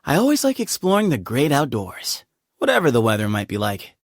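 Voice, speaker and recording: A cheerful, chummy young adventurer. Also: male voice, medium pitch, wildly swooping pitch, no echo. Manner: playful and chummy, quick to laugh. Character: easygoing cheerful young male desert temple leader. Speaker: game character voice actor. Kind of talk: video game character voice lines. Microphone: studio microphone. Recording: dry studio booth.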